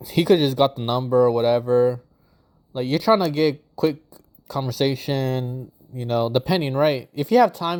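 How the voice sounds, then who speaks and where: A man's voice: mid-range voice, a little mellow, clean tone. A man giving casual reaction commentary, rambling and slangy, swearing often and breaking into bursts of mock outrage.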